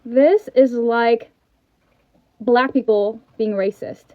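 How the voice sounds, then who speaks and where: On narrow, tinny audio with no room sound, an American woman talks in a high voice. She is casual yet emphatic and incredulous, often repeating her point. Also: steady tone, slow pace, dry recording. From California, USA. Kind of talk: casual direct-to-camera reaction. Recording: dry, tinny phone-like audio.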